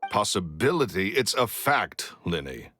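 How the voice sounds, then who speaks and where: Gruff bossy older magician man in a game, deep booming voice, impatient, kinda roomy sound. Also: sing-song, unhurried, bright, forceful tone, clean studio sound, faint music behind, exaggerated voice. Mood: irritated, dismissive. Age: middle-aged. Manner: curt, domineering, hard-nosed. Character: gruff, impatient, foul-mouthed former stage magician in his late thirties. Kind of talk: video game voice acting.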